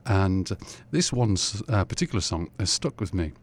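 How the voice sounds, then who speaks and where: A man hosting a music radio show, introducing tracks in a halting, rambling way with many pauses. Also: deep voice, expressive.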